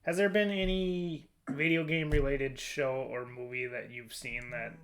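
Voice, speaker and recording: A man's voice: mid-range voice, fairly even intonation, measured pace, fairly bright tone. A man with a mid-range voice hosts a casual podcast discussion. He speaks informally, as in a chat among friends. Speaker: podcast host.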